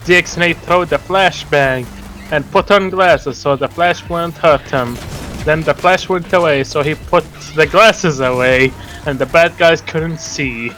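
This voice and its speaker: A man reading a story aloud in a halting, deliberate way, with casual asides and an amused manner. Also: fairly high pitch, fairly bright tone, slight background noise. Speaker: reader of fan fiction.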